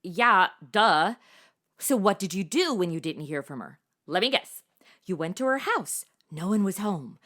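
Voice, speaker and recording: A woman in her twenties playing a brash, excitable, slangy amateur detective in a comedic audio drama. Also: medium pitch, a little slow. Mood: sassy, nosy. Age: 20s. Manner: sassy, slangy, interrupting.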